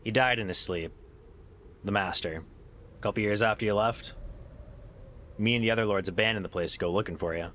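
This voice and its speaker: A man's voice: on the low side, brisk, long pauses, a bit bright, a little hiss or hum, lightly acted. A man playing a weary, loyal adventurer in an audio drama, talking in a casual, sardonic way. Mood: weary, wry. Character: wry, weary male adventurer, loyal old friend. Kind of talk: naturalistic audio drama acting.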